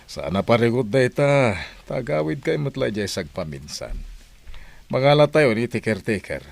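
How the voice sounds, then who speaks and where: A man with an Ilocano accent and gravelly voice playing a fatherly older man in a radio drama. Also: mid-range voice, big pitch swings, moderate pace, no room sound. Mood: advising. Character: fatherly older man advising a young couple.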